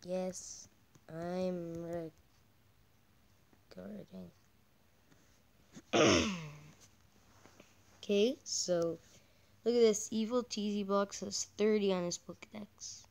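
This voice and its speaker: A woman doing casual, halting game commentary as a channel host, her voice croaky from a cold. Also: lowish voice, darkish tone. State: croaky with a cold, coughing and throat-clearing.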